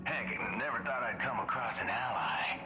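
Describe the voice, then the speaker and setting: A man's voice: medium pitch, moderate pace, natural voice. A man playing a cocky, menacing gunslinger ranger in a video game, with a taunting, swaggering drawl. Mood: wry. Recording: game music underneath.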